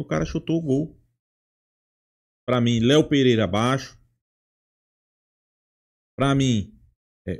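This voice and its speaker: A man with a Brazilian accent giving casual football fan commentary in a halting way, often adding tag questions. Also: mid-range voice, darkish tone. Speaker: football channel host.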